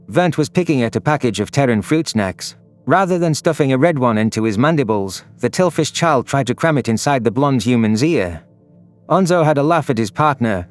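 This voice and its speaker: Guy narrating a sci-fi story, scripted, medium pitch voice, light music behind. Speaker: audio drama narrator. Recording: music under the voice.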